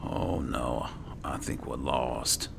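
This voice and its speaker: A man giving casual, low-key commentary as he plays through a roleplay game, muttering to himself and his companion. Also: slow pace, gravelly, noisy background. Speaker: gamer hosting a roleplay playthrough. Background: game ambience.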